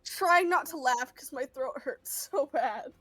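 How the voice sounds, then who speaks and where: A woman's voice: high voice, animated, a little slow, sharp tone, faint music behind. A woman chatting casually as a player in a tabletop role-playing game. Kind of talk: casual gaming chat.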